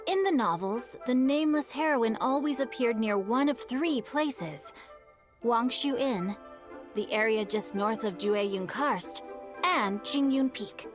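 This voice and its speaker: A woman's voice: a little high, animated. A woman playing a polite, bookish youth in a video game, speaking in an articulate, thoughtful and courteous way. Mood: measured, explanatory. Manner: articulate, measured, courteous storyteller. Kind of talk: video game character acting. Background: game music.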